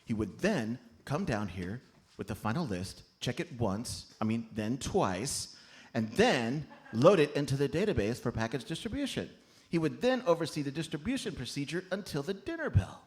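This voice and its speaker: This is a man acting as an earnest master elf in a comic radio play. He recites rules and procedures in a formal, bureaucratic manner, and his pitch moves around a great deal. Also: big pitch swings, normal speed, lightly acted. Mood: officious, matter-of-fact. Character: earnest, rule-bound male master elf of Santa's mailroom.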